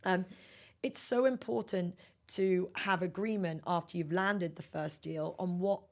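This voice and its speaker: A woman with a fairly low voice and plenty of vocal fry speaks as an executive guest on an interview podcast. She explains her points emphatically, in a conversational, businesslike way. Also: steady tone, measured pace.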